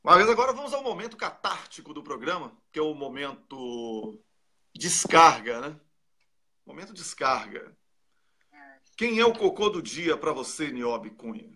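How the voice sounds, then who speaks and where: Bright, husky-voiced man hosting a talk show. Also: a little high, animated, a little slow. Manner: informal, jokey, conversational. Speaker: host. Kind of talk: casual political talk show.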